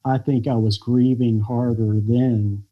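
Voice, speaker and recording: An American man, a podcast guest, telling a personal family story in a halting, reflective way with many pauses and fillers. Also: medium pitch, fairly even intonation, phone-quality sound. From United States. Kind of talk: podcast interview conversation.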